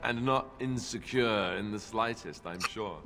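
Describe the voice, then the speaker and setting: Sarcastic warrior, echoing hall. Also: male voice, fairly low pitch, measured pace, creaky. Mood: teasing. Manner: dry, sarcastic. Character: wry, teasing male warrior companion, easygoing. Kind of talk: fantasy video game banter. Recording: reverberant hall, game music underneath.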